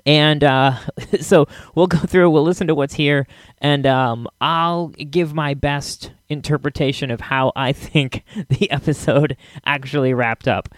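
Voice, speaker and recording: A man's voice: on the high side, measured pace, no echo. A man hosting a podcast, introducing it in an informal, chatty way. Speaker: podcast host. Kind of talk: casual scripted-then-chatty podcast introduction.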